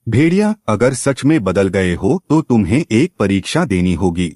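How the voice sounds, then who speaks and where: A man's voice: lowish voice, sing-song, moderate pace, a little mellow, no echo, studio quality. A man playing a stately, just lion king in a cartoon moral story, solemn and full of authority.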